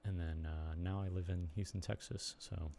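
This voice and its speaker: A young man with a Chicago accent, chatting casually and haltingly to viewers on a live stream from his computer desk. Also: low-pitched, steady tone, creaky, dark tone, slight background noise. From Chicago area, United States. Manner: rambling, halting, lots of ums and restarts. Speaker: streamer and blogger.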